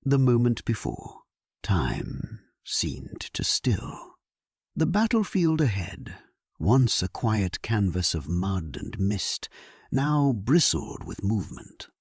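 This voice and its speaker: A man narrates a dramatic story in the first person with solemn gravity. His voice is on the low side and very slow, with a theatrical rise and fall. The sound is close and dry. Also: sing-song, darkish tone, breathy edge, no echo.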